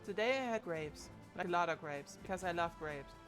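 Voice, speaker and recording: A woman's voice: on the low side, fairly quick, music in the background. A woman in her twenties streaming live from home, chatting casually and thinking aloud into a desk microphone as she puzzles over her setup. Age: 20s.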